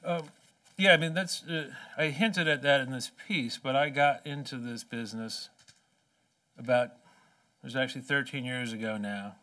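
A man in his forties giving an impassioned keynote speech at a podium, emphatic and rhetorical, with slow deliberate phrases. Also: medium pitch, bright, forceful tone. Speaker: keynote speaker. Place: large conference hall, at a podium. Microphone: podium microphone. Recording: distant conference hall sound.

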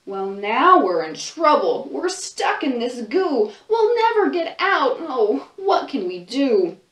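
A woman voicing a troop of small, excitable young monkeys who fret in high, squeaky voices while she reads a storybook aloud. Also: slow, recorded from a distance, heavily acted. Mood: worried. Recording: roomy sound from off-mic.

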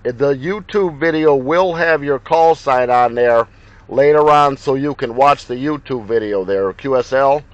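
A man from Ohio, a mobile radio operator in his car, talking in crisp radio procedure and spelling out call signs. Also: medium pitch, unhurried, dry recording, highs cut off. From Ohio, USA. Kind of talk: radio contact exchange. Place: inside a car. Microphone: handheld radio mic.

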